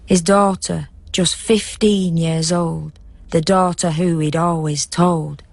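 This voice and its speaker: A woman playing a hushed, knowing narrator of the night in a poetic radio drama, with measured, lyrical delivery. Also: on the low side, steady tone, gravelly, no room sound, heavily acted. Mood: grave.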